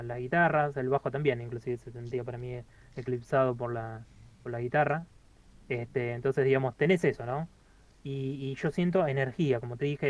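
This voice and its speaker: A man, co-hosting a casual album review, talking haltingly with many fillers and restarts. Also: medium pitch, dry recording, muffled recording. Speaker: podcast co-host reviewing an album.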